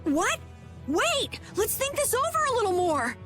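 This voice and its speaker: A woman voicing an excitable, easily scared young boy adventurer in a video game cutscene. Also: very high-pitched, a little slow, faint music behind, full character voice. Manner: excitable, yelping.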